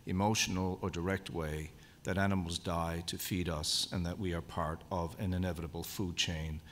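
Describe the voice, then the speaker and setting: Deep-voiced man lecturing in a hall. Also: little pitch movement, a bit bright, slight background noise. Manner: measured, deliberate, emphatic. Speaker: conservation speaker at a convention. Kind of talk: formal lecture. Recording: long hall reverb.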